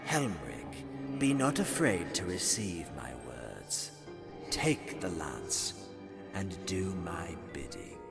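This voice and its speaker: A man playing a solemn, commanding deity in a dramatic visual novel, with a deep, gravelly voice. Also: very animated, takes it slowly, exaggerated voice. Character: solemn commanding male deity speaking as a vision. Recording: loud music under the voice.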